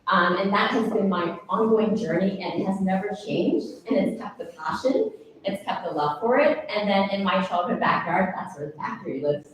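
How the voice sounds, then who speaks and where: A woman's voice: medium pitch, expressive, normal speed. Woman speaking on a panel, echoey from afar. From born in Indonesia, raised in Baltimore. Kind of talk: casual panel talk. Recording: echoey room from far off, faint noise.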